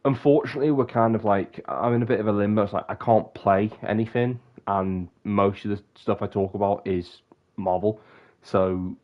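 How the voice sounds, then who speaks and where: A man's voice: fairly low pitch, fairly even intonation, normal speed, muffled recording. A young man with a bunged-up, sniffly voice, rambling casually on a live stream and often breaking off mid-sentence. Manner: rambling, self-interrupting.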